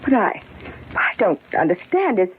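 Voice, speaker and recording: A woman's voice: medium pitch, big pitch swings, narrow, tinny audio, natural voice. A thin old radio recording with faint noise, and an actress as a young scheming woman working as a nurse. Her voice swoops and falters theatrically as she feigns innocence and turns defensive in a forties thriller. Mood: feigned innocence. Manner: halting, agitated, theatrical. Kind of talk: 1940s radio thriller acting.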